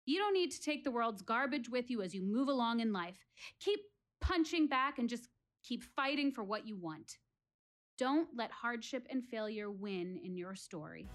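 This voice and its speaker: An actress playing an earnest, wry woman philosophizing to her theater audience in a dramatic one-woman monologue. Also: a little high. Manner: dramatic, rhetorical, addressing listeners directly.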